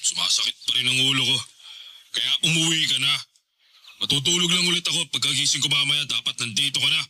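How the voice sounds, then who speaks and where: Bossy hungover boyfriend barking orders, sharp tense voice, fast. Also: male voice, medium pitch. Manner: curt, demanding, gives orders. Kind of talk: radio drama acting.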